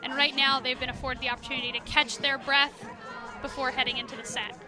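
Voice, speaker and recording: American female color analyst, high register, conversational and explanatory, live outdoor stadium with crowd noise. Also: level tone, fast. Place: outdoor stadium broadcast position. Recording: crowd and music behind, outdoors. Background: crowd and music.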